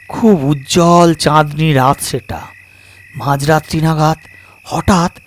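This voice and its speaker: Male storyteller, higher-pitched, measured suspenseful delivery in short phrases, dry close recording. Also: no room sound. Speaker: storyteller, narrator of audio stories. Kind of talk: dramatic scripted story narration.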